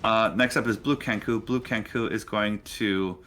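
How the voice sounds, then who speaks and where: A man's voice: on the low side, fairly even intonation, moderate pace, a bit bright, a little hiss or hum. A man narrating a tabletop role-playing game as game master.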